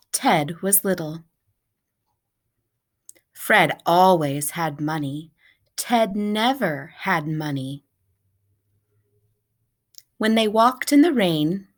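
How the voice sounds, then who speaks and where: A woman with a mid-pitched voice reads a picture book aloud for children. Her pace is very slow and measured, each short sentence set out deliberately, as a teacher would read to young pupils. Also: fairly bright tone. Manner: deliberate, measured storybook delivery.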